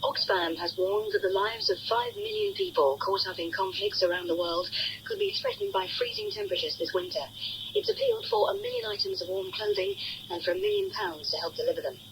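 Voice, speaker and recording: An Englishwoman reads the radio news from a script. Her intonation swings very widely at a middling pitch, and an old broadcast recording adds faint noise behind her. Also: huge pitch swings, a little fast, low-quality recording. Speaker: news reader. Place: radio studio.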